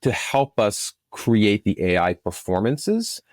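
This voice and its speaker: A man with a lowish voice answers as a podcast guest. He is casual and enthusiastic, often circling back mid-thought, in a dry, close-sounding recording. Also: very animated, slow pace, a little mellow, no room sound.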